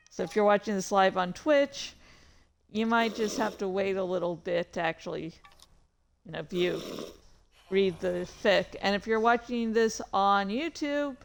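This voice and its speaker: A woman hosting a livestream with casual, halting, easily sidetracked chat. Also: mid-range voice, vocal fry, slight background noise. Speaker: livestream host and fan fiction reader. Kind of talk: casual rambling stream chat.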